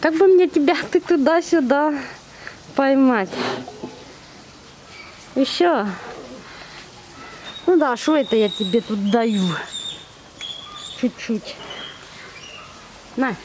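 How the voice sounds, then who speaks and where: A woman's voice: sits high, slow pace, pauses often, a little mellow, a little hiss or hum. A woman talking in affectionate, cooing baby talk full of repeated words and pet diminutives, as if to animals, recorded on a handheld camera outdoors. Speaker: zoo visitor filming and feeding bears. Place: outdoors at zoo bear enclosures. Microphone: handheld camera held by the speaker.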